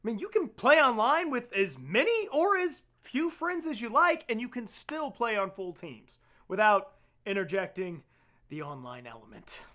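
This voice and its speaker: Male game reviewer, upbeat, expressive intonation. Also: extremely high pitch. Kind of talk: casual review commentary.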